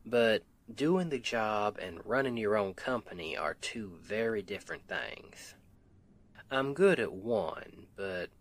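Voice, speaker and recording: A man's voice: lowish voice, a bit bright, heavily acted. A man playing a sweet, weary Southern US husband and roofer in an intimate ASMR roleplay, with an affectionate, teasing, folksy drawl. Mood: weary, reflective. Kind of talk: intimate ASMR roleplay, one side of a conversation.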